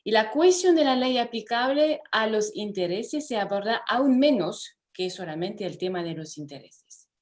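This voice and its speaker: French-accented woman, law professor, online lecture, measured with frequent pauses. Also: medium pitch, expressive. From France. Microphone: computer mic over video call.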